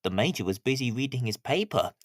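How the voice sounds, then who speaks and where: A woman's voice: rumbling low, expressive, brisk, dry recording, clean studio sound. A woman narrating a children's story from a script.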